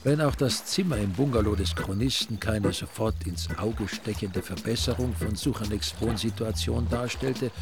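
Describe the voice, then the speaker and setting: An actor narrating a radio crime drama as a wry storyteller with an Austrian accent and a dry, ironic manner. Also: male voice, on the low side, moderate pace, hardly any pauses, over music, close to an everyday voice. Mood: dryly ironic.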